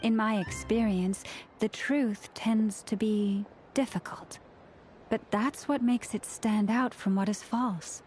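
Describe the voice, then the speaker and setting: A woman as an earnest mid-pitched game companion. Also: fairly even intonation, takes it slowly, darkish tone, natural voice. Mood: earnest, reassuring. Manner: earnest, reassuring, formal phrasing. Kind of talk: dramatic video game voice acting. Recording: music behind the voice. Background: music.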